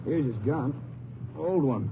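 An observant, practical lawman sidekick in an old radio western. His mid-pitched voice is plain and clipped. The recording is muffled and narrow. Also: animated, slow, noisy background. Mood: businesslike. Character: observant Texas Ranger partner, plain-spoken. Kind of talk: 1950s radio western acting.

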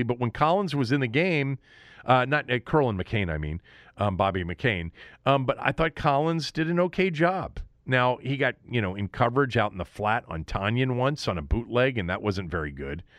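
A male sports host talks through a game recap in a conversational, opinionated style. His pitch is mid-range but swings widely as he stresses his points. The sound is dry, with very little room in it. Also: no room sound. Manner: opinionated, emphatic.

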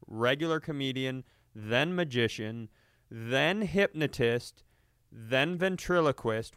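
A man's voice: medium pitch, fairly bright tone, clean tone, no room sound, clean studio sound. A man co-hosting a comedy podcast, riffing casually with mock-earnest, opinionated delivery.

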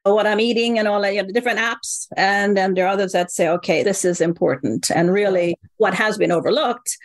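A woman's voice: medium pitch, a little fast. A middle-aged woman, a company co-founder, answering interview questions in an earnest, explanatory way and often checking in with "right?". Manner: earnest, explanatory, repeats key phrases.

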